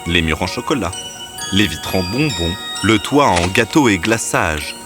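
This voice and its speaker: A man with a deep voice narrates a scripted children's fairy tale. Background music plays clearly beneath his storytelling. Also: expressive, unhurried.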